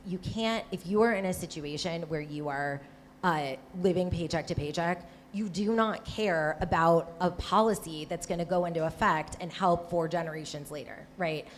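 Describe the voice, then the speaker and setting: A woman's voice: on the low side, moderate pace, a little hiss or hum. A woman with a Michigan accent speaking on a live panel discussion about water policy, talking conversationally with frequent restarts and "right?" tags. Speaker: panelist, former Senate staffer.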